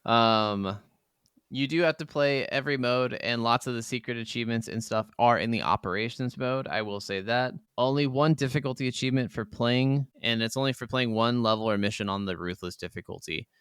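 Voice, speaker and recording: Clear-voiced man chatting casually. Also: mid-range voice, fairly quick, fairly bright tone, no echo, studio quality. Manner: informal, swearing. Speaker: podcast co-host. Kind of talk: casual video game chat.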